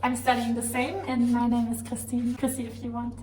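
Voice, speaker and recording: Even-toned young woman. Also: on the high side, a little fast. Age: young adult. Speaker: university student interviewee. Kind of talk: casual interview answers. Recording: roomy echo, faint background noise.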